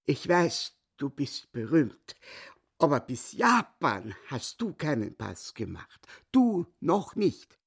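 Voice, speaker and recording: An actor playing a boastful, touchy dwarf chess hustler in a radio play, a raspy voice and halting, self-important delivery. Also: male voice, a little high, very animated, full character voice. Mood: sly, pompous.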